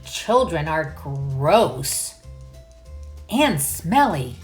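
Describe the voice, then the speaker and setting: A woman's voice: low-pitched, sing-song, very slow, exaggerated voice. Woman acting out a male goblin, storybook, fussy, scheming, disdainful, very stretched-out words, music behind. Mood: disgusted. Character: fastidious, scheming male goblin who despises children.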